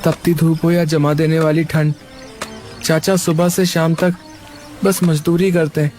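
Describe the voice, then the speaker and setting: A man's voice: a little high, darkish tone, natural voice. A man voicing a humble, polite young laborer in a cartoon. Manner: polite, pleading. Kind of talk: cartoon voice acting.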